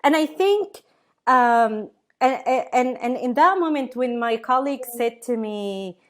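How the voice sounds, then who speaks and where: A woman, a guest on a webinar interview, speaking thoughtfully with many pauses, fillers and restarts, over a video call. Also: on the high side, lively intonation, a bit bright. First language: Arabic.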